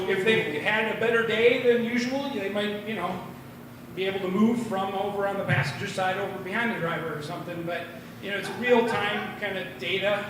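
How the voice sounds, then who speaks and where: A middle-aged American man doing a rambling, halting stand-up comedy set at an open mic in a bar. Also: high voice. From Minnesota, United States. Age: 40s or older. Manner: rambling, halting delivery with tangents. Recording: very echoey, distant room recording, clear noise.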